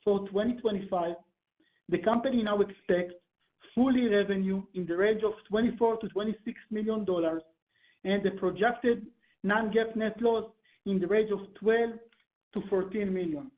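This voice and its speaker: A company finance executive delivers scripted quarterly results at a medium pitch. The sound is a dull, lo-fi conference call connection. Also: normal speed.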